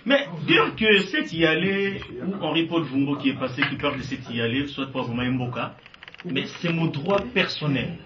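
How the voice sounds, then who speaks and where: A Congolese man with a lively, animated voice answers forcefully, slipping between French and Lingala. He sits well back from the mic in a roomy space, so his voice sounds dull and distant. A faint hum of background noise runs under him. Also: a little high, normal speed. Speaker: guest. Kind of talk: interview answers.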